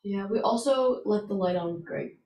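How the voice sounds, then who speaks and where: A woman's voice: medium pitch, normal speed, lo-fi sound. A woman in casual, off-the-cuff chat. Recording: distant, roomy mic with faint noise.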